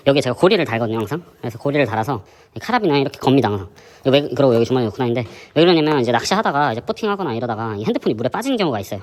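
A man with a hoarse voice from a sore throat, hosting a fishing channel and chatting through his gear in a casual, explanatory way. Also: mid-range voice. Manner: chatty, explanatory, many fillers. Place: indoor gym.

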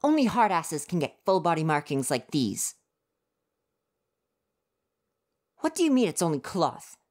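A woman plays a prickly, soft-hearted young would-be ninja in an ASMR roleplay, with a low voice for her range. He snaps back defensively and gets flustered, yet talks earnestly and gently about his hopes. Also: very animated, fairly quick.

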